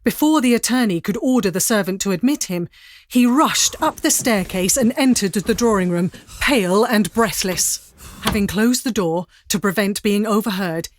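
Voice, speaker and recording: A woman giving measured, literary narration for a dramatised story. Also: medium pitch, slight background noise.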